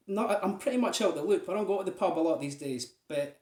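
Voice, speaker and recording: A man's voice: high voice, animated, a little fast, darkish tone, echoey room. A Scottish man from Aberdeen talking casually to his viewers, rambling with lots of fillers. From Aberdeen, Scotland. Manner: chatty, rambling, many fillers. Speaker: vlogger. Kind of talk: casual monologue.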